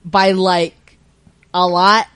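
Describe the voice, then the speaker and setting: A woman's voice: lowish voice, little pitch movement, drawn-out words, a bit bright, clean tone, dry recording. A woman co-hosting a podcast in casual chat, talking in a slangy, profane way. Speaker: podcast co-host.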